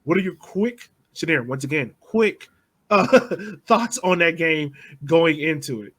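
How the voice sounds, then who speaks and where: A man hosting a soccer podcast, putting questions to his co-hosts in a brisk, casual way. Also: fairly high pitch, animated, a bit bright, slightly rough. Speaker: podcast host.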